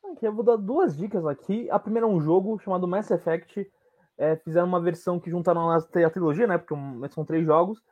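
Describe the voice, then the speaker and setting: Higher-pitched Brazilian man chatting casually. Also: fast talker, phone-quality sound. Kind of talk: casual recommendation chat.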